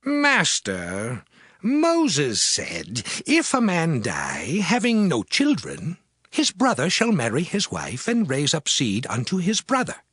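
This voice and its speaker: A man playing a formal, challenging religious elder of a sect in a dramatized Bible reading, with deliberate, theatrical, singsong delivery. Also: on the high side, wildly swooping pitch, fairly bright tone, slightly rough, no room sound, full character voice. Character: formal male Sadducee posing a challenging riddle.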